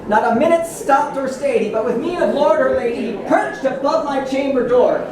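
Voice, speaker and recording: A man playing a haunted, melancholy 19th-century gentleman poet, giving a dramatic, halting theatrical recitation of verse. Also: extremely high pitch. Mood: grave, intense. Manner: dramatic, halting with repeated words. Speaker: performer reciting poetry. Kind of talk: theatrical live poetry recitation. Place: large indoor ballroom with audience. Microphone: distant camera recording. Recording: echoey hall from far off, audience noise. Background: audience crowd murmur.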